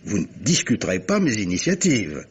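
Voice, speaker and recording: A man's voice: fairly low pitch, huge pitch swings, moderate pace, breathy edge, heavily acted. A man playing a worldly, jovial former army captain turned amateur sleuth, theatrical and wry, in an old-style radio mystery. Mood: jovial, leisurely. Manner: theatrical, leisurely, wry, chuckling.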